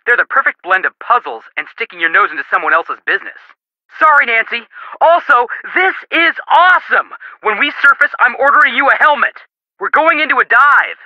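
A man's voice: very high-pitched, big pitch swings, brisk, dry recording, highs cut off. An actor playing a goofy, eager young male detective in a video game, with playful, wisecracking delivery. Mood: excited. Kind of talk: video game character dialogue.

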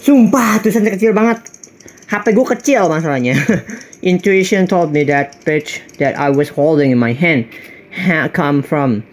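A man's voice: fairly high pitch, moderate pace, slight background noise. A man with an Indonesian accent giving playful, exclamatory, casual commentary as he plays a game. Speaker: gaming video creator.

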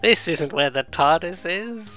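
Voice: medium pitch, expressive, measured pace, pushed and bright, soft background music. A let's play host commenting casually on a game, rambling and joking at their own expense while reading bits aloud. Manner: rambling, jokey, self-deprecating.

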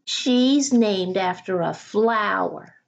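A woman voicing snooty little schoolgirl mice in a storybook, with drawn-out singsong delivery. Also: mid-range voice, vocal fry, dull audio. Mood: mocking.

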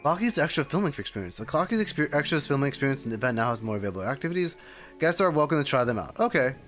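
A man's voice: medium pitch, sing-song, racing, a bit bright. A young man streaming a video game, reacting casually and rambling in loose asides. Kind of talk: casual live gameplay reaction. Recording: light game music behind. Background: video game music.

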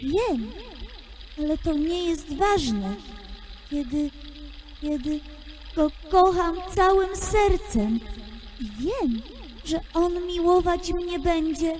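A woman playing a young, loving sea princess in a children's radio play, speaking tenderly and earnestly in measured phrases. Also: very high-pitched, animated, darkish tone, slight background noise, heavily acted. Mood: earnest, pleading.

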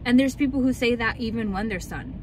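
A woman's voice: fairly high pitch, animated, fast talker, a little hiss or hum. A young woman vlogger chatting and ranting casually in a car, full of likes and ums. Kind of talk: casual chat.

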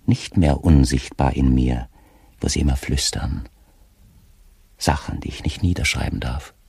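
An actor playing a mentally disturbed, paranoid magistrate, brooding and halting as he reads his haunted diary in an audio play. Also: extremely low pitch, vocal fry, a little mellow, breathy edge, no echo, heavily acted.

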